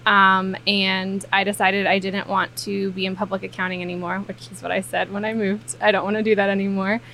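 A woman's voice: medium pitch, flat delivery, a little fast, creaky, sharp tone, slight background noise, low-quality recording. A woman from the Maryland and Virginia area, a guest accountant chatting casually on a podcast with lots of fillers. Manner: chatty, frequent fillers, laughs easily. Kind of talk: casual interview chat.

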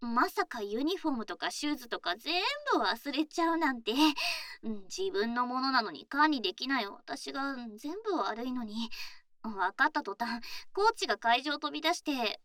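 High, bubbly sporty girlfriend, acted by a woman. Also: normal speed, no echo, studio quality. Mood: fond, chatty. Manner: playful, bubbly, expressive. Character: cheerful scatterbrained young athlete girlfriend, affectionate and teasing. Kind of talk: intimate situation voice drama, acted.

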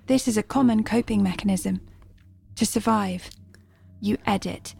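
An actress voicing a calm, clinical artificial guide in an audio drama, with a measured, formal manner. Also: female voice, mid-range voice, exaggerated voice. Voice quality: creaky, soft-toned. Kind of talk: restrained audio drama acting. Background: music.

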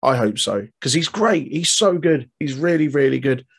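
A man's voice: medium pitch, expressive, moderate pace, gravelly, breathy edge. A man co-hosting a podcast in casual chat, rambling and restarting sentences, swearing and laughing often. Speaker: podcast co-host.

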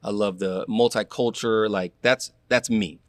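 A young man from Florida chats in a casual interview, his voice somewhat low and the sound dry and close. He tells his stories with energy, slipping into other people's voices as he goes, and laughs easily. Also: moderate pace, dry recording. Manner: animated storyteller, acts out quotes, laughs. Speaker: guest, former college athlete.

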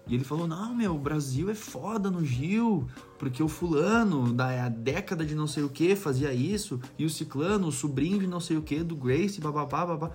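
A man's voice: a little high, lively intonation, fairly quick, few pauses, a little mellow, soft background music. A man from Brazil with a southern Brazilian accent, chatting casually and slangily, swearing now and then and acting out what people said. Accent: southern Brazilian Portuguese. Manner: slangy, swearing, acts out quoted remarks. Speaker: barber living abroad as an immigrant. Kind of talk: casual conversational anecdotes.

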